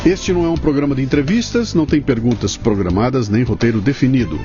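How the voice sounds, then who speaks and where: A man's voice: medium pitch, expressive, fast, highs cut off, over music. Brazilian man hosting a chatty podcast. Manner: informal, chatty, interjects opinions. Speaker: podcast host. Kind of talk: casual conversational interview.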